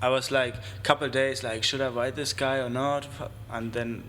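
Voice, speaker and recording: A young man who grew up between Germany and Spain and speaks English with German as his first language. He talks loosely and haltingly, with a flat, even voice. A faint hiss sits under the studio recording. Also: mid-range voice. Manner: loose, halting, heavy use of "like" and swearing. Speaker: guest, BMX rider. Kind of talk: casual interview.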